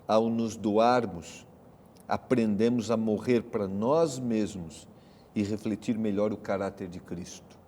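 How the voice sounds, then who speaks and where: Mellow Brazilian man hosting, long reverb. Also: fairly low pitch, unhurried, slight background noise. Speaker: host of a religious study program. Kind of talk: televised Bible study discussion.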